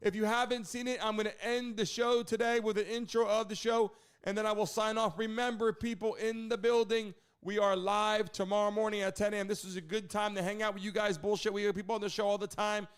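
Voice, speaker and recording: A man hosts a casual livestream, speaking directly to his viewers. His voice is high and clean, delivered at a quick clip. He keeps a promotional, direct manner throughout. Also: flat delivery, smooth. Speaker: livestream show host.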